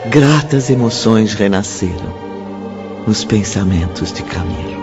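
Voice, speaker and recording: A Brazilian man narrating a radio drama in a solemn, literary style with deliberate phrasing. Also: fairly low pitch, expressive, gravelly. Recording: muffled, loud music behind.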